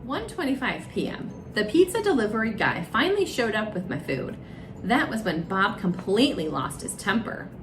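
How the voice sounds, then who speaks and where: A woman's voice: medium pitch, very animated, normal speed. A woman storyteller narrating a children's storybook aloud. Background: music.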